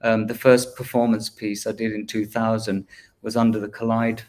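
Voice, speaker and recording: A man's voice: on the low side, flat delivery, moderate pace, darkish tone. A man who is an artist, talking reflectively about his work with many hesitant fillers. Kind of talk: livestream discussion.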